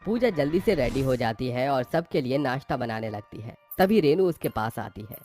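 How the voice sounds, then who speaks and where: Male narrator for animated moral stories: medium pitch, scripted storytelling delivery, light music behind. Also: normal speed.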